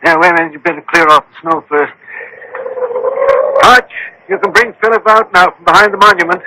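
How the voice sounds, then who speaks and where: An actor playing a brisk, self-assured doctor-detective in a vintage radio mystery, formal, authoritative and dryly witty. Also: male voice, sits high, huge pitch swings, vocal fry, full character voice. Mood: brisk, commanding. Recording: noisy old radio broadcast recording.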